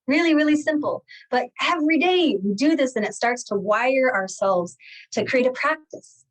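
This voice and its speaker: A woman speaking as a guest guide in a meditative spiritual talk, gently and deliberately, phrase by phrase with pauses. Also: medium pitch, low-quality recording.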